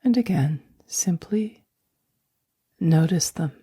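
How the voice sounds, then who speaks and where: A woman guiding a sleep meditation in a soft, breathy near-whisper, speaking slowly and gently with long, soothing pauses. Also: on the low side, lively intonation, no echo, studio quality. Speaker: meditation guide.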